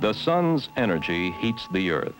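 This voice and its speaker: Male documentary narrator, low and formal, varied intonation, vintage 1970s film sound. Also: big pitch swings, music in the background, low-quality recording. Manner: measured, formal, instructional. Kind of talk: scripted educational film narration.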